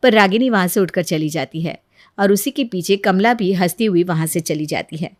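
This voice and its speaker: A woman's voice: fairly low pitch, normal speed, no room sound, studio quality. A woman reading scripted narration for a moral family story.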